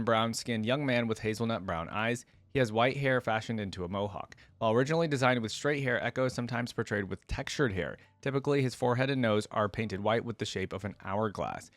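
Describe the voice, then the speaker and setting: Guy doing casual reaction commentary, mid pitched voice, talks right to viewers, reads stuff out, super dry recording. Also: no echo. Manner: informal, addresses viewers directly as "guys". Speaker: video host, gaming fan reactor.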